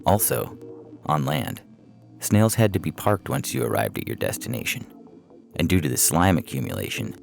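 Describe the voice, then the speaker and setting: A man's voice: deep voice, huge pitch swings, moderate pace, vocal fry. A man reading a humorous science fiction story as a scripted narration, with music playing behind him.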